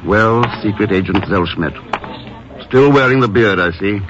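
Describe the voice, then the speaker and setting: An actor playing a suave, wry, assured secret agent in a 1950s radio drama. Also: male voice, on the low side, a little slow, natural voice. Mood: dry, teasing. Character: suave, dry-witted male secret agent investigator. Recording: muffled, hissy old radio recording.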